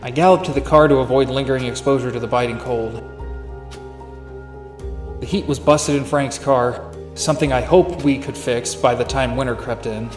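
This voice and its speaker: A man's voice: medium pitch, fairly even intonation, moderate pace, reverberant, faint music behind, lightly acted. A man playing an earnest, weary young amateur detective in a scripted noir audio drama, subdued and reflective. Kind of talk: scripted first-person noir narration and dialogue.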